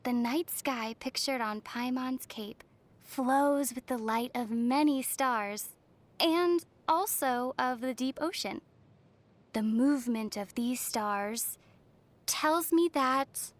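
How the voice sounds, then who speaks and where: A woman voicing a gentle, thoughtful young traveler heroine in an anime game, measured and pensive, with a dryly teasing streak. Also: high-pitched. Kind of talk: English-language anime game voice acting.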